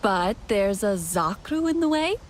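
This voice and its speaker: A calm young warrior in a game. She speaks at a medium pitch, easygoing and curious, teasing gently. There is faint noise behind her. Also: measured pace. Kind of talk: naturalistic game dialogue.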